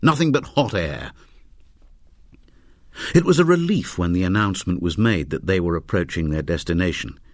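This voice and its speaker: A man reads fiction as a narrator, with wry expression. His voice sits fairly low yet moves widely in pitch to shape the drama. The recording is dry, with no room sound. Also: animated, moderate pace, pauses often, dry recording. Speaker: audiobook narrator. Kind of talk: dramatic scripted story narration.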